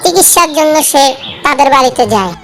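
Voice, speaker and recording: A woman's voice: high-pitched, lively intonation, a little fast, a bit bright. A woman reading scripted storybook narration for a cartoon tale, with music behind her.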